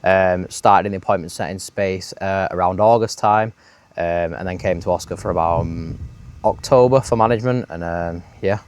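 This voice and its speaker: A man's voice: sits low, moderate pace, clean tone. A young man of nineteen, talking as an interview guest, hesitant and full of ums. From Manchester, England. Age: late teens. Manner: hesitant, lots of ums, laughs shyly. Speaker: interview guest, sales manager. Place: villa, indoors.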